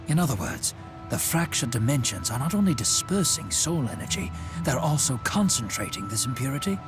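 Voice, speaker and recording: A man's voice: mid-range voice, sing-song, a little fast, music in the background, lightly acted. An actor playing an earnest, analytical man in a video game, explaining articulately. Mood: serious.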